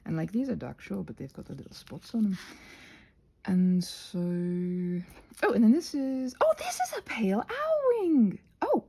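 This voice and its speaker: A woman hosting a rambling craft ASMR chat with a husky voice, in an expressive, tentative, self-deprecating way that meanders. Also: mid-range voice, measured pace. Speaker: channel host.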